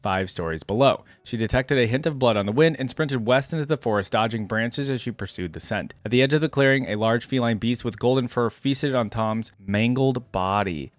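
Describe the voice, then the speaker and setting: A man's voice: mid-range voice, no room sound. A man reading fantasy lore stories aloud and adding casual reaction commentary as he thinks aloud. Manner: casual, thinks aloud between read passages. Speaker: host of a fan reaction video.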